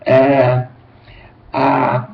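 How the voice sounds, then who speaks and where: A man in his forties with a Brazilian Portuguese accent, speaking as a student in halting classroom English with many fillers. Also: medium pitch, completely flat delivery, vocal fry, lo-fi sound. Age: 40s.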